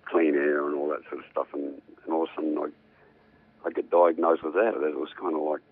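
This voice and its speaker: An older man, a musician, chatting in a rambling, relaxed way as a radio guest. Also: a bass voice, a little fast, slightly rough, phone-quality sound. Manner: rambling, hums riffs aloud.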